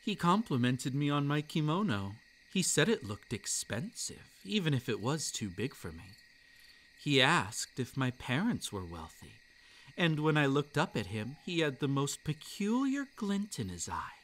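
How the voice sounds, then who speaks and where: Slow, soft-spoken male roleplay narrator. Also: fairly high pitch, big pitch swings, a little hiss or hum. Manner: soft, unhurried storytelling. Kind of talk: scripted roleplay ASMR narration.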